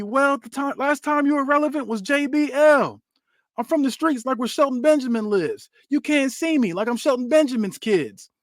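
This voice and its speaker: Man doing a cocky young wrestler, high-pitched, boastful rhymes, rhythmic trash talk. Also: no room sound, studio quality.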